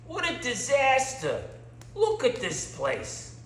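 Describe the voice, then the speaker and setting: A man's voice: very high-pitched, huge pitch swings, slow, a bit bright, slight background noise, pitched above the performer's own voice, full character voice. A man voicing an angry, bossy restaurant owner who scolds his staff in a story. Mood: angry, exasperated. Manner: exclaiming, scolding. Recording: echoey room.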